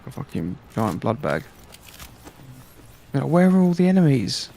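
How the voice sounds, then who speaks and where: A man's voice: medium pitch, big pitch swings, normal speed, vocal fry, noisy background. A man co-hosting a casual gaming livestream, commenting in a blunt, sweary way. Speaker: game streamer co-host.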